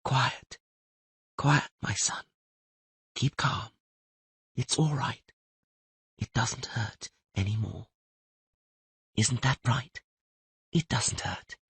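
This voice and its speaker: A man voicing a mysterious stranger who speaks in a quiet, gentle, soothing voice. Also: mid-range voice, husky, dry recording, voice pushed up, full character voice.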